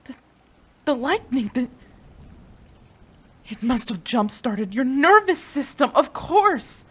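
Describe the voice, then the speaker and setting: A woman's voice: high voice, big pitch swings, slow pace, fairly bright tone, heavily acted. A woman playing a devoted, desperate female mad scientist in an intimate, emotional audio roleplay, addressing a beloved. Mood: astonished, elated. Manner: emotional, breathy sighs, addresses a beloved tenderly.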